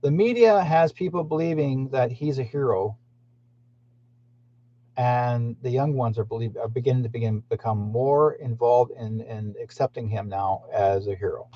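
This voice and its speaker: A man's voice: medium pitch, normal speed. A man who hosts a channel, rambling indignantly in a monologue and speaking straight to his listeners. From Canada. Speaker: channel host. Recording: muffled lo-fi recording.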